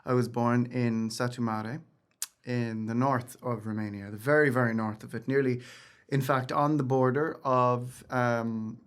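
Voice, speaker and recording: A man's voice: medium pitch, steady tone, measured pace, clean tone. A man in his thirties from Ireland, a singer chatting as an interview guest and telling stories about his childhood, often restarting his sentences. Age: 30s. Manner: chatty and storytelling, with frequent restarts and laughs. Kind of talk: casual interview chat.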